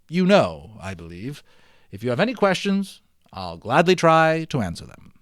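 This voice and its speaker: A man reading a storybook aloud in an expressive, dramatic way, with a folksy, casual manner as host. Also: on the high side, no echo, clean studio sound. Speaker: reader and host of a read-aloud series.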